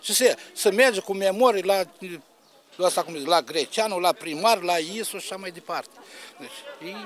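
A man in his fifties, a market trader airing complaints in a street interview on a handheld microphone. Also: high voice. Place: market stall in open air. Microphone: handheld reporter microphone.

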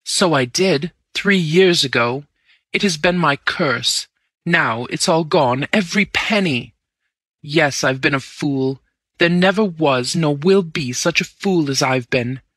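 A man voicing a desperate young gentleman who has lost everything, pleading and anguished. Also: a little high, extremely slow, gravelly, few pauses, no echo, exaggerated voice.

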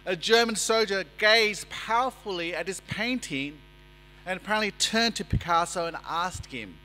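A man preaches from a lectern in a church, measured and emphatic as he builds his points. His voice sits a little high and sounds bright and ringing. The long reverb of the hall trails each phrase, with a low mains hum underneath. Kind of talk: sermon. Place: church sanctuary, indoors. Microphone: lectern microphone.

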